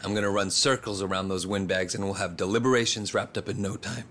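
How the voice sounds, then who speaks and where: An actor playing a composed, self-assured male politician in a video game, speaking in measured, polite phrases. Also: lowish voice, steady tone, fairly bright tone, a little hiss or hum. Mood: composed, confident. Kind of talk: English video game voice acting.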